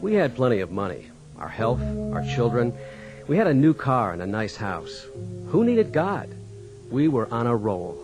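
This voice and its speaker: Animated, folksy young American farmer. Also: male voice, mid-range voice, normal speed, music in the background. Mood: reflective. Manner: folksy, conversational. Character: easygoing young farmer and father, dismissive of religion. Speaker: narrator and lead in radio dramatization. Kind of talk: scripted first-person radio drama narration and dialogue.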